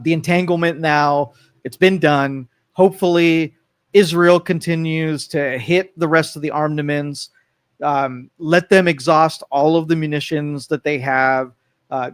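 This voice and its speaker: Male co-host, talky and animated, slightly higher voice, casual political chat. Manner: animated, acts out quoted voices. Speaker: talk show co-host.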